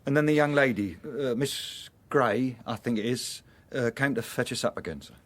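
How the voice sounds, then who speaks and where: An actor with an English accent playing a nervous household chauffeur in a radio drama, answering hesitantly and deferentially. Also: male voice, medium pitch, normal speed, close to an everyday voice. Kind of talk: British radio drama acting.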